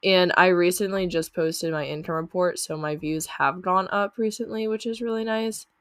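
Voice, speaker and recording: A woman's voice: on the low side, normal speed, smooth, no echo, studio quality. A young woman talking casually through her channel earnings, chatty with lots of fillers, her voice a little off from a lingering cough. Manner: chatty, uses many fillers like "like" and "um". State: lingering cough after illness. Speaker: YouTuber reviewing channel earnings. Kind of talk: casual screen-share walkthrough.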